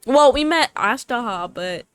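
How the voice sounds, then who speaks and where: A woman's voice: on the high side, expressive, unhurried, a bit bright, smooth. A woman casually reacting to a game as a streamer, playful, gushing and teasing. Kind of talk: casual gameplay reaction chat. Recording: background game music.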